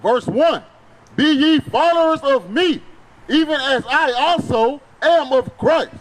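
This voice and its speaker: Guy reading bible verses out for a preacher, super high voice, stretches the words out. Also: low-quality recording. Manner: deliberate, drawn-out delivery. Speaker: scripture reader for a preacher. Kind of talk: loud declamatory Bible reading.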